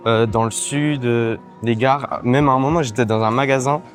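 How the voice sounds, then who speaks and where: A teenage boy talking in an easy, rambling way, full of fillers and restarts, as he answers questions on a walk. His voice sits at an ordinary pitch for his age. Faint music plays underneath the talk. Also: fairly even intonation, normal speed. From Mauritian heritage, raised in France. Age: teens. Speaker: interview guest, social media creator. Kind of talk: casual chat while walking.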